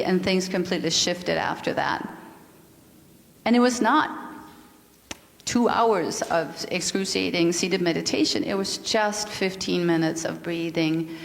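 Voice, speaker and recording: A middle-aged woman from Denmark, Danish native, speaking with a Danish accent and giving a calm, earnest spiritual talk as a yoga teacher, often repeating words as she gathers her thoughts. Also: medium pitch. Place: spiritual community meeting hall. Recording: reverberant hall.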